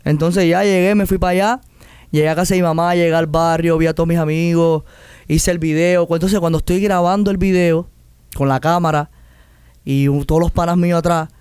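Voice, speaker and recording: Fast-talking young Cuban man, high voice. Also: clean tone, dry recording, studio quality. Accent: Cuban Spanish. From Cuba. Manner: animated, acts out quoted voices, restarts often. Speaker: guest artist. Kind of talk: casual interview storytelling.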